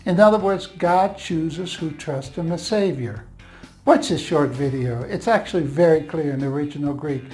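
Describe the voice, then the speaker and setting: A man's voice: a little high, normal speed, darkish tone, faint music behind. Dutch-accented man teaching Bible grammar. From Netherlands. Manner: earnest, explanatory, reassuring asides.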